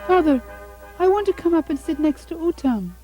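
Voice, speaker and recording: Woman voicing an eager high-pitched little boy prince. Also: expressive, moderate pace, mellow, soft background music, poor audio. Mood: eager, pleading. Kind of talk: puppet show dialogue.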